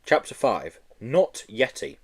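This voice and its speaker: Lively male storybook narrator. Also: mid-range voice, takes it slowly, breathy edge. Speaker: audiobook reader. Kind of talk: expressive children's story narration.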